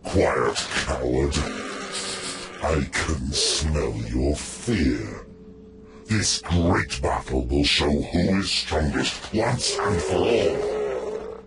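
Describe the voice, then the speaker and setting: Game war god: belligerent, imperious, very low and heavy-paced. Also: male voice, lively intonation, drawn-out words, vocal fry, background noise, full character voice. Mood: contemptuous. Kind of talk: fantasy video game acting.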